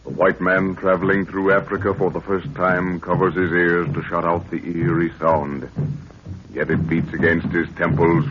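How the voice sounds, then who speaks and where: A man delivering dramatic scripted 1930s radio narration in a solemn, portentous manner with a husky voice. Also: low-pitched, background noise. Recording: muffled 1930s radio recording.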